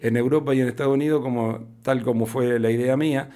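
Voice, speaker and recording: A man's voice: mid-range voice, fairly even intonation, fast talker, dark tone. Argentine man telling stories in an interview. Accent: Argentine Spanish. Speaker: festival founder being interviewed. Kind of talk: conversational radio interview, telling anecdotes.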